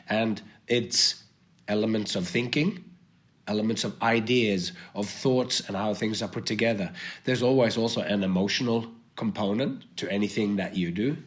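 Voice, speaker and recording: A man with a cold and a hoarse voice, thinking aloud as a guest in a podcast conversation, with many restarts. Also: on the low side. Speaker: guest, performer.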